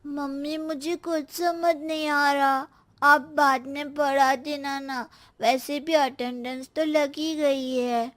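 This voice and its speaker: A woman doing a young schoolboy, high-pitched, unhurried, cheeky, lazy, children's cartoon. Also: little pitch movement. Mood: whining. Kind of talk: animated children's comedy story.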